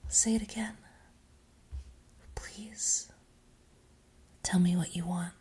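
A young woman speaking in a breathy, intimate whisper with soft sighs, close to the microphone. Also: lowish voice, fairly even intonation, slow. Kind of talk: whispered audio roleplay.

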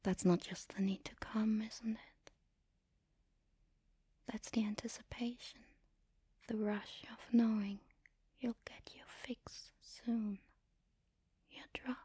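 A woman speaking in an intimate, commanding and teasing erotic ASMR style, in a breathy whisper close to the mic. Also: fairly high pitch, fairly even intonation, slow pace, long pauses, dark tone, dry recording. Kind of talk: erotic ASMR, guided audio roleplay.

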